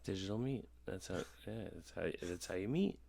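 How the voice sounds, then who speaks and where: A man hosting a casual podcast, rambling and halting, with effusive thanks and agreement. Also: low-pitched, lively intonation, creaky, over a noisy crowd. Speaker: podcast host. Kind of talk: casual interview chat.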